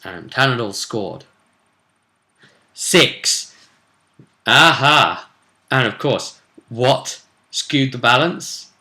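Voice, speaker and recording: A man's voice: medium pitch, animated, drawn-out words, pauses often, pushed and bright. A British man speaking as a game master, recapping a tabletop role-play session in a casual, chatty, digressive way with playful asides and emphatic bursts. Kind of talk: casual animated recap to camera.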